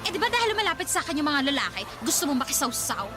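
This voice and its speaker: A woman's voice: high-pitched, sing-song, very fast. A woman in a radio drama playing a defiant, touchy teenage girl with a whiny, defensive and spiteful manner. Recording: music behind the voice.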